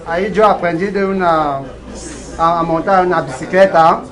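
A man giving a short personal introduction as a workshop participant, halting and searching for words. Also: high voice, fairly even intonation, a bit bright.

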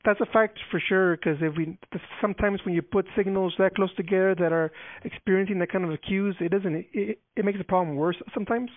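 A man with a high voice explains official procedure over the phone. He halts often with fillers and restarts. The line is tinny and thin, with a dry, close sound. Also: fairly even intonation, a little fast, few pauses, dry recording. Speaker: transportation department official. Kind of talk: phone call.

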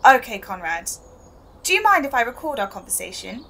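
A persistent young newspaper reporter in a radio play. Her voice is high and animated, polite and keen, over faint ambience. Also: brisk, vocal fry, slight background noise, exaggerated voice. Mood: curious. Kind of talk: audio drama acting.

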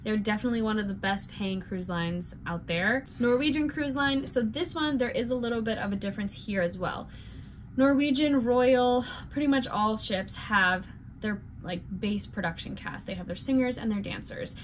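Chatty young woman vlogging from a cabin. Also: fairly high pitch. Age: 20s. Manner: chatty, with fillers and quick asides. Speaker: cruise ship singer and dancer. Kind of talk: casual vlog explainer. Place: small cabin bedroom with string lights. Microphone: camera in front at desk distance. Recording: noisy room with ambient hum.

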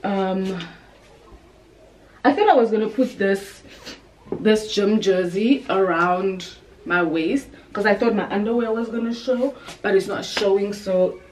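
A woman's voice: mid-range voice, measured pace, darkish tone, a little hiss or hum, low-quality recording. A woman, chatting informally to camera and thinking aloud at home. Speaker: vlogger. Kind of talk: casual chat to camera. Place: indoors, bedroom and bathroom at home.

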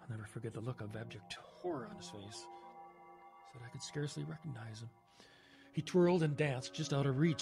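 A man playing a haunted woodsman survivor in a horror audio drama, telling his tale in a halting, dramatic way with swelling emphasis. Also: mid-range voice, gravelly. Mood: fearful, agitated. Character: haunted male woodsman survivor recounting a terror.

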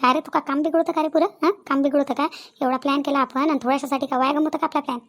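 High-pitched, bossy Vidarbha village sister-in-law played by a woman. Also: fairly even intonation, rapid-fire, natural voice. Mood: exasperated scolding. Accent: Vidarbha Marathi. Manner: insistent, repetitive scolding and coaxing. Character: middle-aged village sister-in-law, bossy, persuasive peacemaker. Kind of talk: cartoon family comedy dialogue.

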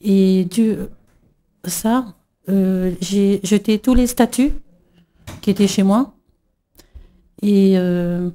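A middle-aged woman from New Caledonia giving an emotional religious testimony, halting with many fillers and restarts. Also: mid-range voice, warm tone. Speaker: church member giving testimony.

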